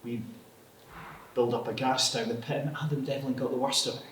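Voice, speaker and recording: Heard at a distance in an echoey space with faint background noise, an actor plays a plain-spoken man in a screen drama. His medium-pitched voice is colloquial and matter-of-fact. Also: steady tone, brisk, fairly bright tone, natural voice. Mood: sombre, matter-of-fact. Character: plain-spoken man breaking news of a death. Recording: echoey, distant, noisy with ambience.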